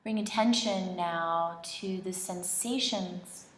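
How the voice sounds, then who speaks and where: A woman leading a guided meditation in an indoor studio, speaking in gentle, unhurried phrases with long pauses. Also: lowish voice, a little hiss or hum. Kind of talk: slow guided relaxation meditation, soothing instruction. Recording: roomy, echoing studio sound.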